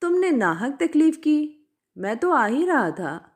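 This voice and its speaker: A reluctant, harried man from a storybook tale, voiced by a woman storyteller. The voice is high and very mobile in pitch. He protests politely and sounds uneasy. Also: big pitch swings, takes it slowly, heavily acted. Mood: polite reluctance.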